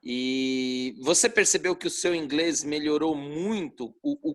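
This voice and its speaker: A man with a Brazilian Portuguese accent, a club member who asks questions at a meeting in a halting way, with restarts. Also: fairly high pitch, fairly bright tone. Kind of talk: casual meeting talk.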